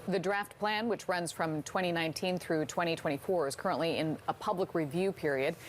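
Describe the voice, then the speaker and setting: A woman's voice: lowish voice, brisk, slight background noise. A woman with an American accent reading local news as an anchor.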